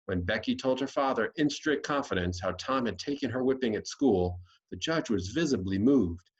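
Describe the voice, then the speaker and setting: A man's voice: medium pitch, animated, moderate pace, slightly rough, dull audio. An American man reading a classic storybook aloud as scripted narration. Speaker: audiobook reader.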